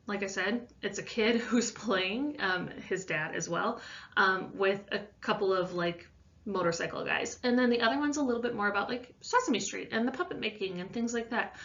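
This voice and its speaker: A woman's voice: mid-range voice, fairly bright tone. A woman teacher giving an informal voice-over lesson, explaining an assignment in a loosely worded, conversational way. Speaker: science teacher. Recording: distant mic, slightly muffled sound.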